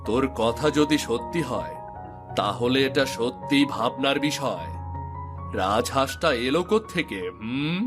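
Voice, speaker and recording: পুরুষ কণ্ঠে তরুণের চেয়ে ভারী গলার চতুর গ্রাম্য জেলে. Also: male voice, medium pitch, lively intonation, unhurried, lightly acted. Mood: skeptical. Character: older village fisherman and husband, skeptical, slyly scheming. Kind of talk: cartoon dialogue acting. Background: music.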